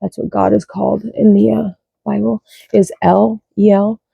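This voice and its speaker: A woman with a low voice, chatting loosely and rambling as she reads cards, swearing and thinking aloud. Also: level tone, moderate pace, vocal fry, warm tone, breathy edge, no echo, muffled recording. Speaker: card reader. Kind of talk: casual rambling spiritual chat.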